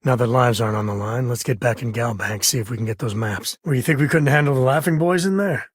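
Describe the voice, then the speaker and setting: Guy doing a really raspy space cowboy dad for a game, wry n folksy, caring, super dry close sound. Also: mid-range voice, fast, no room sound, lightly acted. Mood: wry, concerned. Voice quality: husky, raspy. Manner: folksy drawl-like phrasing, wry and conversational. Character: rugged, wry, caring space-frontier explorer and protective father. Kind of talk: video game companion dialogue.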